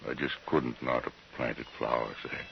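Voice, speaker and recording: An actor playing a gaunt, grieving frontier homesteader in an old radio western, speaking plainly and haltingly with resigned weariness. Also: male voice, extremely low pitch, steady tone, creaky. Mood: grieving, numb. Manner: plain rustic speech, halting, subdued. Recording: dull, hissy old radio recording.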